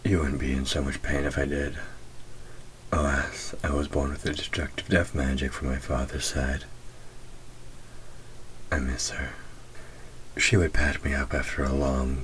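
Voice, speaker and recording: A man voicing a centuries-old demon in an intimate audio roleplay, proud and gruff but tender, murmuring slowly and deliberately, often close to a whisper. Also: a bass voice, little pitch movement, fairly bright tone, a little hiss or hum. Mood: wistful, tender.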